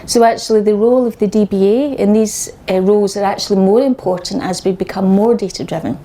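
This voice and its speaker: A woman's voice: medium pitch, fairly even intonation, quick pace, mellow. A woman in her forties with a Scottish accent, giving a conversational, wry technical talk to a meetup audience on a microphone. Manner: conversational, wry and self-deprecating. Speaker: conference speaker. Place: meetup venue with a live audience. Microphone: handheld microphone.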